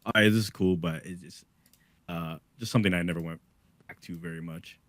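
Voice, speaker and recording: A man's voice: low voice, fairly even intonation, a little fast, fairly bright tone, no echo. A man co-hosting a music podcast, talking in a laid-back casual way with lots of "like" and "dude". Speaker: podcast co-host. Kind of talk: casual music review chat.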